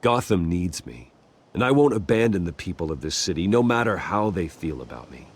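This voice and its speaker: Deep-voiced brooding male vigilante. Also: big pitch swings, husky, full character voice. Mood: earnest, firm. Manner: grave, earnest, measured. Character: brooding, earnest adult male billionaire vigilante. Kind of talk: video game dramatic acting.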